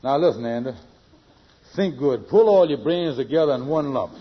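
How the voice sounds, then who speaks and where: An actor playing an excitable, bumbling schemer in an old radio sitcom, stammering and repeating himself in folksy, ungrammatical speech. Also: male voice, a little high, normal speed, lightly acted. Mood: bewildered, insistent. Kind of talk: old-time radio comedy acting. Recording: dull, faintly noisy vintage radio recording.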